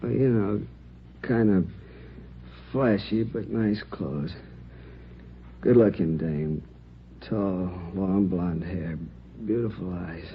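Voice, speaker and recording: A man playing a shaken, sheepish crime victim in a radio drama, speaking haltingly and a little defensively. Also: low voice. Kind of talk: 1950s radio drama acting. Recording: muffled, noisy old radio recording.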